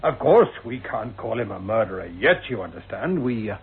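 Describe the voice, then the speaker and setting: A man's voice: lowish voice, very animated, phone-quality sound. A man playing a methodical police sergeant in a radio drama, courteous but officious and probing. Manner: officious, courteous, deliberate questioning. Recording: thin, band-limited old radio recording.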